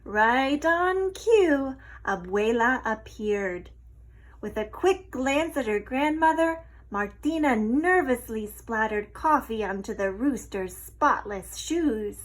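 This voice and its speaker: A woman reading a picture book aloud as an expressive storyteller. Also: fairly high pitch, slow pace, low-quality recording.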